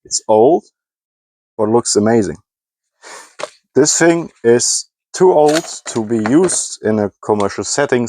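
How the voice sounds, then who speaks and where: A man's voice: mid-range voice, a little mellow, a little hiss or hum. A man from Germany who speaks English as a second language with a German accent, rambling through a casual vlog and often stopping to search for words and correct himself. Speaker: scrapping hobbyist.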